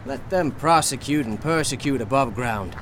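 An actor playing an eccentric, boastful painter of horrors, talking fervently and persuasively in a radio drama. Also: male voice, mid-range voice, expressive, normal speed, background noise, close to an everyday voice.

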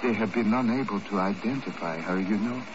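A suave, menacing gentleman villain played by a man in an old radio play. His lowish voice is formal and insinuating, on a muffled, clearly noisy recording. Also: natural voice. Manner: over-formal, insinuating, deliberate. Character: smooth, menacing, mock-courteous foreign tobacco magnate villain. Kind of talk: 1950s radio drama acting.

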